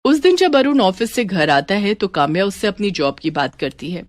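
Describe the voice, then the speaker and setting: A woman's voice: mid-range voice, sing-song, normal speed, dry recording. A woman narrating a scripted story as its storyteller.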